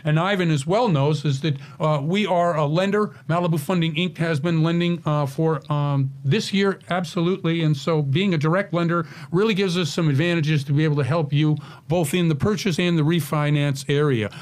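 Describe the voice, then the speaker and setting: A middle-aged man hosts a radio talk show in a casual, chatty way. His voice sits a little high for a man, and he pauses often on fillers and restarts sentences as he talks. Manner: chatty, hesitant with many fillers.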